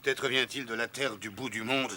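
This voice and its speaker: A man voicing a grumpy, bossy sailor in a French cartoon dub, blustering and emphatic, with a gravelly voice. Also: mid-range voice, fairly even intonation, moderate pace, slight background noise. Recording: loud music behind the voice.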